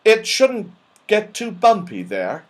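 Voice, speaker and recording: An anxious little fairy fellow from a children's storybook, acted out by a man. He is earnest and emphatic, speaking in a raised pitch with big swoops and a slow, drawn-out pace. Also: wildly swooping pitch, heavily acted. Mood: earnest, instructing. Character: Moonface, male fairy folk companion, anxious and earnest.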